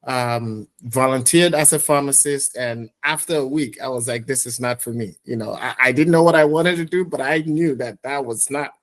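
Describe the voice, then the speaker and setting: A middle-aged man from Nigeria, a podcast guest telling his career story in a reflective, measured way with many fillers. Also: medium pitch. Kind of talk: conversational interview storytelling.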